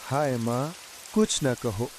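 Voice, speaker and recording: A man's voice: mid-range voice, expressive, slow. A man voicing a fussy, hapless young village husband in a comic cartoon story. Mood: aggrieved. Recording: faint hiss with rain sounds.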